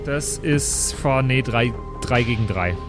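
A man's voice: medium pitch, takes it slowly, over music. A man chatting casually as a player in an improvised role-playing game, slangy and peppered with English words. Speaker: player and show regular.

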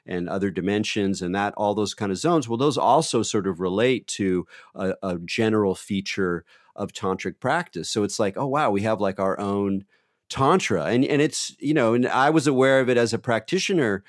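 A man with a deep, booming voice talks through his ideas in a loose, rambling conversational way, circling back with fillers and restarts. The recording is dry and close, with no room sound around him. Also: normal speed, no echo. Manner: rambling, full of "you know" fillers and restarts. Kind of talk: thoughtful conversational talk.

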